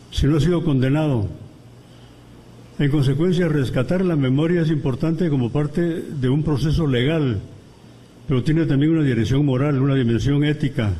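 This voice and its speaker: Measured man, mellow voice. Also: on the high side, rough recording. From Guatemala. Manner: measured and deliberate, pausing between phrases. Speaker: guest commentator at a book presentation. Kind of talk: academic lecture. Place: university auditorium.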